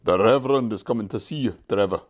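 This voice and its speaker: A man's voice: fairly low pitch, quick pace, lightly acted. A man voicing a cheery, encouraging engine driver in a children's storybook, with a hearty manner. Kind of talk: children's storybook character reading.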